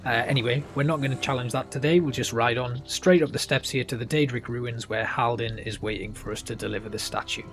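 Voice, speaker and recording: A man's voice: medium pitch, steady tone, fairly quick, hardly any pauses, a bit bright. Man narrating a game walkthrough over music. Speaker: gaming video host.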